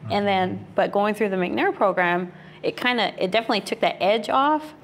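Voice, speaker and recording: A woman's voice: mid-range voice, normal speed, slight background noise. A young woman from Arizona, a graduate student, chatting casually in a group interview with frequent fillers and restarts. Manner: chatty, lots of "you know" and restarts.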